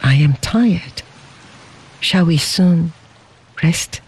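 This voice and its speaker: A narrator voicing a gentle, devoted young woman in an audiobook, cooing and soft in a raised voice. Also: mid-range voice, animated, very slow, breathy edge, exaggerated voice. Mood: tender. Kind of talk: audiobook character voice.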